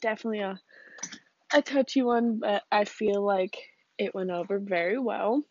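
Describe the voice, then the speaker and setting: A woman's voice: mid-range voice, moderate pace, a little mellow, muffled recording. A young woman with a cold, chatting casually and rambling on her podcast from home, with lots of ums and likes. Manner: rambling, casual, laughs often, says um and like. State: sick with a cold and cough. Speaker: podcast host. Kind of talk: casual solo podcast chat. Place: home room with a fan.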